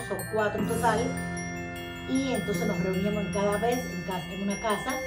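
A Cuban woman in her sixties speaks with a Cuban Spanish accent in a chatty, reminiscing tone, recorded by a camera across her living room. The room sounds echoey, and loud music plays behind her voice. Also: medium pitch. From Cuba. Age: 60s. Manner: reminiscing, chatty, addresses viewers directly with rhetorical questions. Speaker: vlogger. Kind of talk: personal storytelling to camera.

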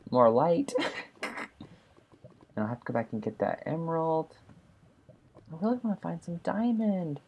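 A chatty, excitable gameplay commentator who talks to the viewers and to itself at the same time. The voice has a gravelly, slightly husky grain. The pitch rises and falls freely, with a lot of movement as it narrates. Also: a little slow, pauses often, dull audio. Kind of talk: casual let's play commentary.

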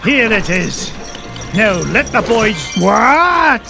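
An actor playing a crusty, determined elderly duck tycoon in a cartoon game, crotchety and emphatic, with a Scottish accent. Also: male voice, sits high, big pitch swings, very slow, vocal fry, over music, full character voice. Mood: alarmed, accusing.

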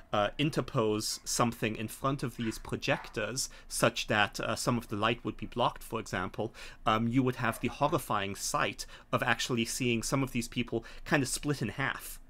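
A man acting as game master, explaining a scenario in a hesitant, halting way. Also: mid-range voice, steady tone, pushed and bright, a little hiss or hum. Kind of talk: narrating a tabletop game scenario. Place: small home room, video call.

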